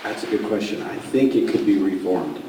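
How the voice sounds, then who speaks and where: A man who is losing his voice, explaining law at a public meeting with halting fillers. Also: on the low side, background noise. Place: meeting room. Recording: echoey room from far off.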